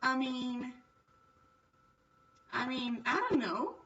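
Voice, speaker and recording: A woman's voice: high voice, lively intonation, extremely slow, fairly bright tone, recorded from a distance, muffled recording. A woman livestreaming a game, chatting casually and playfully with her viewers. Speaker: livestreamer playing a visual novel. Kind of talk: casual chat while gaming.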